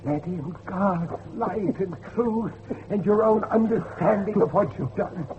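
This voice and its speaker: A man's voice: high-pitched, measured pace. An actor playing a desperate, pious middle-aged father pleading urgently with his son in a horror audio drama. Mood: grave, pleading. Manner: preacherly, urgent, repeating himself. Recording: dull lo-fi audio, loud background noise.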